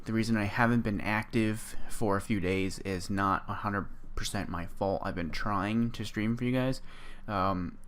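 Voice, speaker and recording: A man with a hoarse, sore-throated voice, casually walking through his game build and rambling with lots of ums. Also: fairly low pitch, fairly bright tone, a little hiss or hum. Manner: rambling, full of ums and restarts. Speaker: gaming video creator. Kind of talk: casual gameplay build walkthrough.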